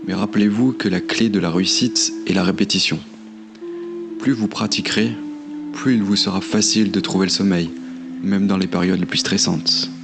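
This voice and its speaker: Male meditation narrator, deep and flat-toned, soothing and measured, clear music bed behind. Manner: calm, soothing, measured delivery with long pauses. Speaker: guided meditation instructor. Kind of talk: slow guided meditation narration.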